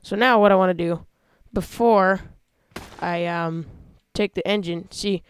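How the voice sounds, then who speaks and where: A man hosting a casual gameplay video, talking through how he builds a vehicle, with excited yelps when he drives it. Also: high voice, unhurried, clear, resonant tone. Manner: chatty, explains while building, excited yelps when driving. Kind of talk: casual let's-play commentary.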